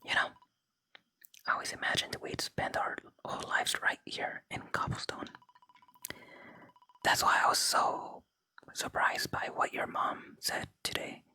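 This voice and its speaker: Very bright, fully whispered ASMR gaming host. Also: a little slow, long pauses, poor audio. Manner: unvoiced, gentle whispering throughout. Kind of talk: whispered ASMR let's play, reading game dialogue aloud.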